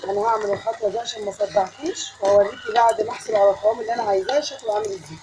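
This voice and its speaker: A woman's voice: mid-range voice, very fast, noisy background. A woman with an Egyptian accent and a voice tired from a cold, chatting step by step to the viewer as she cooks at home. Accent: Egyptian Arabic. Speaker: home cook. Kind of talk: cooking tutorial. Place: home kitchen.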